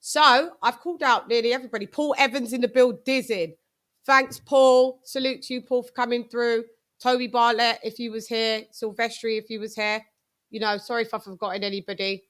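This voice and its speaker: A British woman hosting a live boxing stream, chatting casually in an effusive, slangy way with shout-outs. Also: fairly high pitch, fairly even intonation, brisk.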